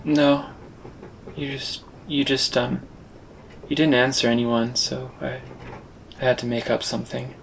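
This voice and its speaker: A man acting an even-toned, unassuming male traveller. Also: mid-range voice, measured pace, gravelly, pauses often, a little hiss or hum, rough recording. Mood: sheepish. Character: John, hesitant young male traveller narrating his journey. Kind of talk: audio drama dialogue and reflective narration.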